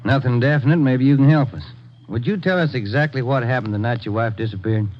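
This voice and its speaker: A man's voice: mid-range voice, quick pace, natural voice. An actor playing an easygoing male police sergeant, laconic and questioning, in a vintage radio crime drama. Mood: polite, probing. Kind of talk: 1940s-50s radio crime drama acting. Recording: muffled, faintly noisy old radio recording.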